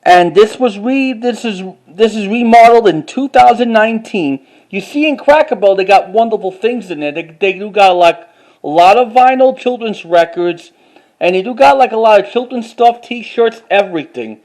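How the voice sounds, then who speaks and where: A man with a halting, word-repeating way of talking, doing a casual show-and-tell like an enthusiastic collector. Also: high-pitched, expressive, darkish tone, low-quality recording. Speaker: vlogger.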